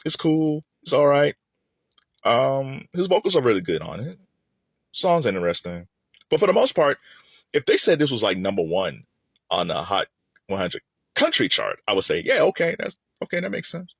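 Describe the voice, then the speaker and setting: A man's voice: medium pitch, sing-song, normal speed, creaky, no room sound. A middle-aged man giving casual, opinionated music commentary in a conversational way, repeating phrases for emphasis.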